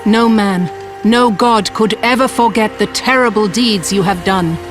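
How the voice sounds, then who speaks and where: A woman playing a regal, solemn goddess in a video game, speaking in a measured, formal way. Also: medium pitch, close to an everyday voice. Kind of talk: dramatic video game acting. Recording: music underneath.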